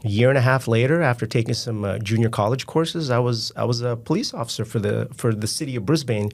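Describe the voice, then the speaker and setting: Fifties filipino-born guy telling old cop stories, lively, laughs a lot, stumbles over his words. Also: medium pitch, fast talker. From Philippines. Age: 50s. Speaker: guest, retired police officer. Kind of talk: casual interview storytelling.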